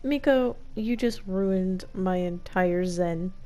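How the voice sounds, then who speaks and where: A woman's voice: medium pitch, slow, mellow. A woman giving casual gameplay commentary, playful and slangy, reacting in short quips. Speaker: gameplay streamer. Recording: faint game music behind.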